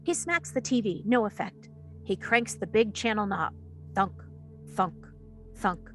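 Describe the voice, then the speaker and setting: A woman's voice: medium pitch, sing-song, a little slow, a bit bright, soft background music. A woman reading stage directions as the narrator of a screenplay table read.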